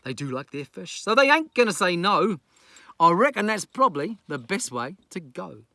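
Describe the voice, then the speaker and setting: A man's voice: high voice, big pitch swings, moderate pace, pushed and bright, no echo, studio quality, exaggerated voice. A man playing a lazy, scheming pirate captain in a children's story, declaiming in a theatrical, comic way. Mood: excited scheming.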